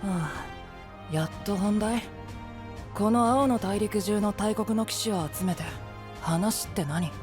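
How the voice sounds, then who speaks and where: Casting: sharp-tongued boyish knight, blunt and knowing, dry delivery, anime audio drama with music underneath. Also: male voice, high voice, slow. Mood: dryly impatient. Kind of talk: anime-style voice drama acting.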